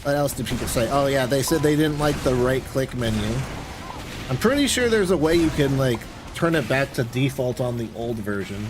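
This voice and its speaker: A man's voice: medium pitch, moderate pace. A man streamer chatting casually in an offhand, rambling way while playing a game. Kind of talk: casual chat while gaming. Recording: clear background game noise. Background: video game sounds.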